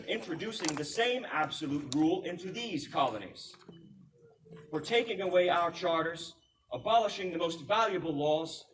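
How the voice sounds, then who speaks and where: A man reenacts a solemn colonial American statesman proclaiming to the public in a high, projected voice. The delivery is formal and oratorical, with deliberate phrasing. It is picked up from a distance at a live event, with faint noise. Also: fairly bright tone. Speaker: historical reenactor.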